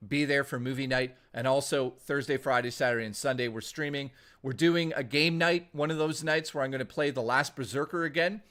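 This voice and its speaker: A man streaming, hoarse and losing his voice, rambling through a casual sign-off and thanking viewers by name. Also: medium pitch, steady tone, fairly quick, a bit bright.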